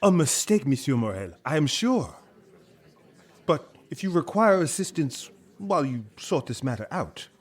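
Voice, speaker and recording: An actor playing a smug, ambitious villain in a period audio drama, with an oily, insinuating manner. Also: male voice, a little high, very animated, moderate pace, husky. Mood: smug, scheming. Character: sly, ambitious male sailor schemer, smug villain.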